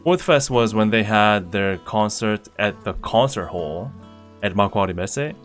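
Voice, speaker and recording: Relaxed young man chatting over guitar. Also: fairly low pitch, a little slow. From Indonesia. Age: young adult. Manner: relaxed, rambling with fillers and restarts. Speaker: streamer. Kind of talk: casual chat reading viewer comments. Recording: faint guitar music behind.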